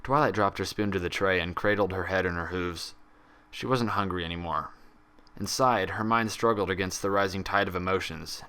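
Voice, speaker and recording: A man's voice: low voice, a bit bright. A man narrating a scripted story dramatically, as a fan fiction reader.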